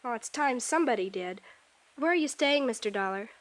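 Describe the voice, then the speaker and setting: Self-assured sixteen-year-old girl played by a woman, high-pitched, helpful, vintage radio serial. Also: animated, fairly quick, close to an everyday voice. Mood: eager, matter-of-fact. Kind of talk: 1950s radio drama acting. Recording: vintage 1950s radio, faint music.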